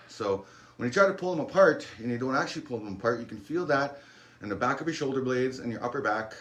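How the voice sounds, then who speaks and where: Man, fitness instructor, relaxed, encouraging, chatty, middle pitch. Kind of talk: guided workout instruction.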